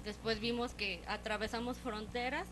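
A woman's voice: on the high side, steady tone, normal speed, a bit bright, slight background noise. A young adult woman, a guest in a small studio TV interview, speaking into a handheld mic with some hesitation and fillers. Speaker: guest, contest organizer. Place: small TV studio set, indoors.

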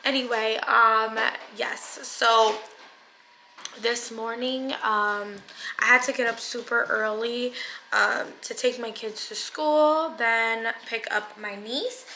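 A woman's voice: a little high, fairly even intonation, slow, sharp tone. A young woman chatting casually and confidingly to her viewers as a family vlogger, speaking informally at home. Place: indoors at home. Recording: echoey room with background noise.

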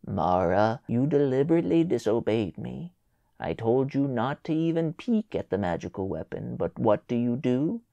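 A man's voice: medium pitch, sing-song, normal speed, vocal fry, no room sound, lo-fi sound. A man voicing a furious scolding mother. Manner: scolding, loud and emphatic. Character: stern, furious mother of a girl.

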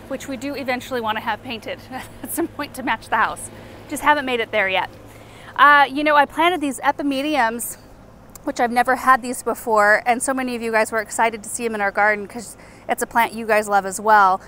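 A woman's voice: a little high, moderate pace, sharp tone, slight background noise. A woman in her thirties hosting a casual walking garden tour outdoors, chatty and enthusiastic as she points out plants. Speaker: garden show host.

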